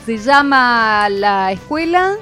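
A woman's voice: a little high, lively intonation, slow, bright, forceful tone, faint music behind. A woman hosting a community radio segment with school students, presenting in a friendly, upbeat way.

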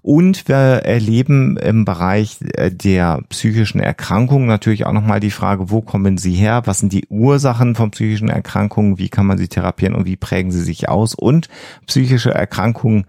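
Podcast co-host: man, deep and mellow, conversational explainer. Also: normal speed, creaky, no room sound, clean studio sound.

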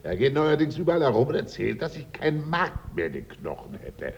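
A teasing, stubborn old uncle. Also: male voice, a little high, sing-song, fairly quick, gravelly, slightly rough, exaggerated voice. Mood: mock grumbling. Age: older. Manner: teasing, blustery. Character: stubborn, jovial, hard-drinking old rich uncle. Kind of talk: German radio drama acting. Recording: dull, noisy radio recording.

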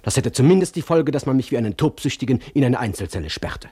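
An actor playing a defiant intellectual, declaiming a polemic with deliberate, emphatic articulation in a radio drama. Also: male voice, medium pitch, lively intonation, warm tone, husky, no room sound, studio quality. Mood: cold, defiant. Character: defiant male intellectual coldly denouncing confinement.

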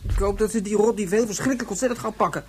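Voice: medium pitch, very fast, darkish tone, a little hiss or hum, lightly acted. A performer playing an eager, imaginative young child who rambles on in a science-fiction radio play. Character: chattering, imaginative young child obsessed with naming spaceships.

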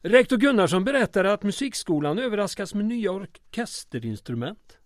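A man's voice: high voice, sing-song, no room sound, clean studio sound. A man playing a flustered, wordy and evasive small-town mayor in an old-time radio comedy drama. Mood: suspicious, exasperated. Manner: wordy, formal, stammering when flustered.

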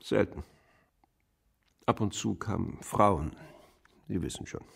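Gravelly, husky older man, terse. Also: sits low, slow pace, full character voice. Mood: guarded, terse. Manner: terse, guarded, matter-of-fact answers. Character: reticent old pensioner, a murdered man's nosy neighbour. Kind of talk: German radio drama dialogue.

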